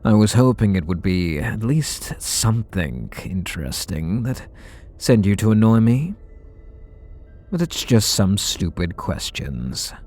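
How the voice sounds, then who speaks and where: A man's voice: fairly low pitch, big pitch swings, measured pace, a little mellow, soft background music. A man voicing a bitter, sarcastic supervillain in an ASMR roleplay audio drama, talking in a sardonic, mocking way. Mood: sneering. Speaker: voice actor.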